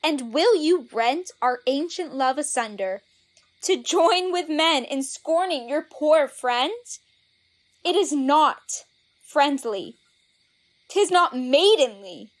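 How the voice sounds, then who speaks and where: A woman's voice: high voice, animated, slow pace. An actress playing a spurned, indignant young woman in a Shakespearean audio drama, declaiming verse theatrically. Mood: reproachful.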